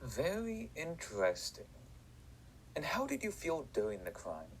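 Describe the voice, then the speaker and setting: A polite, probing psychiatrist, played by a man with a fairly high voice that moves a lot in pitch. He asks his questions in a measured, inquisitive way. Faint noise runs under him. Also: huge pitch swings, low-quality recording. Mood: inquiring. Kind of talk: fan audio drama acting.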